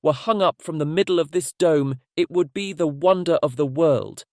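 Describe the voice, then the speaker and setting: A sly villain magician posing as a holy woman, acted by a man for a storybook. The voice is high for a man, measured and insinuating, in a very dry recording. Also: a little mellow, no echo, close to an everyday voice. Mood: sly flattery. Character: cunning male magician disguised as holy woman. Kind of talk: dramatized fairy tale audiobook.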